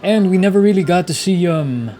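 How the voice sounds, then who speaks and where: A man's voice: high-pitched, expressive, brisk, dark tone. A man giving casual gameplay commentary as a streamer, musing aloud in a conversational way. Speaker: game streamer.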